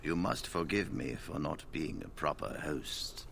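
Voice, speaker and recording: A man voicing a solemn, ancient spirit-like being in a video game, speaking slowly in a half-whisper. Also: rumbling low, expressive, gravelly, a bit bright, a little hiss or hum, exaggerated voice.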